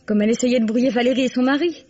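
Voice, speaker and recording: A woman's voice: on the high side, very fast, dark tone, no room sound, lightly acted. An actress playing a proud, outspoken young woman suspect in a radio mystery, frank, scornful and emphatic. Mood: scornful, bitter. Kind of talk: French radio mystery drama acting. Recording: dull, dry, band-limited radio drama recording.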